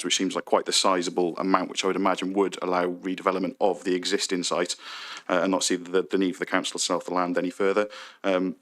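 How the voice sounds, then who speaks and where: A man's voice: sits low, fairly bright tone. A man in his thirties reading a prepared objection to a council committee, earnest and critical. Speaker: public speaker at council committee.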